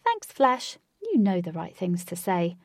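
A woman voicing a cheerful, nosy young woman with a bright, friendly manner. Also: fairly low pitch, huge pitch swings, unhurried, no room sound, clean studio sound, exaggerated voice.

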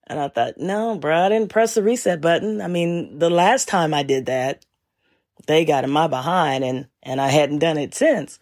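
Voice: medium pitch, moderate pace, a little mellow. A podcast host telling a story in a casual monologue, laid-back and wry with frequent chuckles.

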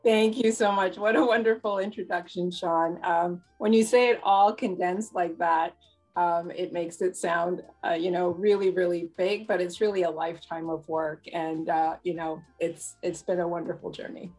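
A woman from Trinidad who moved to Canada, speaking as an interview guest in a measured, gracious, reflective way. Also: lowish voice, fairly even intonation, a little mellow, faint music behind. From born in Trinidad, moved to Canada as a child. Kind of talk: reflective personal storytelling.

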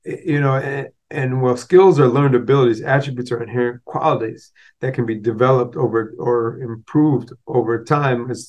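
A man hosting a podcast solo, talking casually with a slight stutter and restarts, mixing street slang with business talk. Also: mid-range voice. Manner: conversational, street slang mixed with business terms. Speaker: podcast host.

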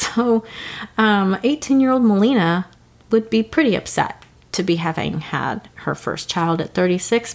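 A woman in her thirties hosting a faith podcast, talking earnestly in a reflective devotional way, with frequent ums and soft laughs. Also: medium pitch. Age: 30s.

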